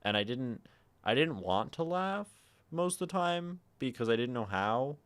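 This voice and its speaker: A man with a naturally low voice, hosting a livestream and musing softly and aimlessly with many fillers. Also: big pitch swings, unhurried, smooth. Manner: soft, meandering, lots of fillers; prefers talking quietly and a little higher. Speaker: livestream host. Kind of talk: casual livestream chat.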